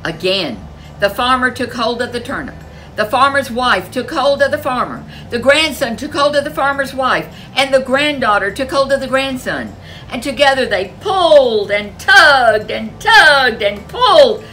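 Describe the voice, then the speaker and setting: A woman's voice: high-pitched, animated, normal speed, pushed and bright, a little hiss or hum. A woman storyteller reading a folk tale aloud in a dramatic, emphatic way. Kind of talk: animated folk tale narration for children.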